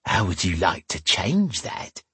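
A man's voice: sits low, sing-song, unhurried, pushed and bright, slightly rough, dry recording, full character voice. A man voicing a coarse, lecherous middle-aged tavern woman with a suggestive, folksy drawl in a fantasy audiobook. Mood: flirtatious, lecherous. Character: lecherous, homely heavy-set tavern woman in her forties, coarse.

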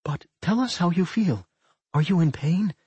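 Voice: mid-range voice, expressive, moderate pace, warm tone, slightly rough, dry recording, dull audio, voice pushed up. A narrator voicing an anxious, caring young man in a fantasy audiobook, concerned and earnest.

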